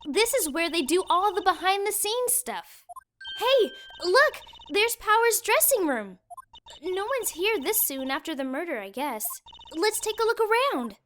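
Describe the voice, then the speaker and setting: A woman voicing a perky, excitable, childlike teenage girl sidekick in a video game. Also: very high voice, unhurried, slight background noise, heavily acted. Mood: excited. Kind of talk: animated video game character acting. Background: music.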